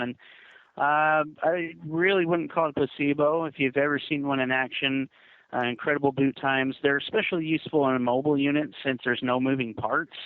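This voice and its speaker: A man's voice: mid-range voice, no echo. A man working as a presales support agent on a phone call, explaining things patiently and politely with some hesitation. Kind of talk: customer service phone conversation. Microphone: telephone. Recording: dull narrow phone line.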